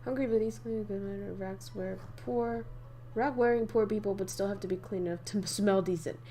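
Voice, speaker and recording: A woman's voice: medium pitch, steady tone, a little fast, dark tone, a little hiss or hum. A young woman from northern Vietnam with a Vietnamese accent, chatting casually on a livestream while she teaches idioms and laughs often. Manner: chatty, laughs often, reads chat aloud.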